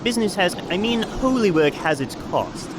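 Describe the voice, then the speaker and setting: A greedy old holy man of fantasy audio comedy. He is pompous and wheedling, with clear outdoor noise behind him. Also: high voice, big pitch swings, moderate pace. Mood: pompous, grasping. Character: pompous, greedy old high priest and coin master.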